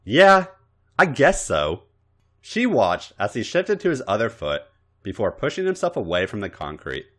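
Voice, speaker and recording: A man narrating a story aloud in a dramatic, expressive way. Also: fairly low pitch, moderate pace. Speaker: podcast host reading fan fiction. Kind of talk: story narration with acted dialogue.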